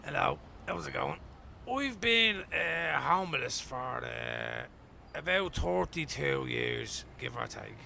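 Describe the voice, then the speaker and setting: A man acting an amiable middle-aged homeless Dubliner in a radio play, with a gravelly voice and halting, rambling manner. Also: on the high side, very animated, pushed and bright, a little hiss or hum, full character voice. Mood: hesitant, friendly. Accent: Dublin Irish. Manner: halting, rambling, good-natured.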